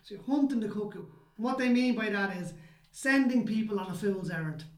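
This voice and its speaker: A man's voice: high voice, fairly quick, reverberant. An Irish man co-hosting a podcast, telling stories in a chatty way and often checking in with "right?". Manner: chatty storyteller, tags lines with "right?". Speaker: podcast co-host. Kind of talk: casual chat.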